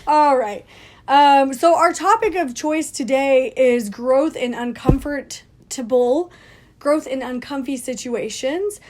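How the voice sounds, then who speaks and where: Woman, twenties, scratchy voice, laughs a lot, casual podcast chat, slightly high voice. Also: takes it slowly, darkish tone. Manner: chatty, laughs often. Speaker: podcast co-host. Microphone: leaning close to a phone.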